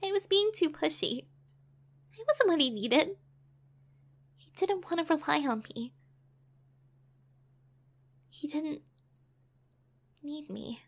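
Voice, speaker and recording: High-voiced, earnest young heroine played by a woman. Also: expressive, slow pace, pauses often, exaggerated voice. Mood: sorrowful, reflective. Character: young woman, earnest, wounded, insecure narrator of her past. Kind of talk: dramatic audio drama acting and narration. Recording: music bed behind the voice.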